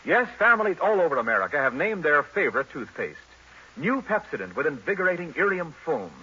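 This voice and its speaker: Bright, high-pitched male vintage radio announcer. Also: big pitch swings, a little fast, slightly rough. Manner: emphatic, polished salesmanship. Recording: muffled vintage radio broadcast.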